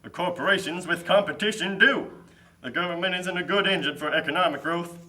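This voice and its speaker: A smug, upbeat pitchman played by a man pushes his case in a puppet show. The voice is high for a man and sharply bright. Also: fairly quick. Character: smug pro-free-trade salesman type, pushy and upbeat. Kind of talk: scripted puppet show dialogue.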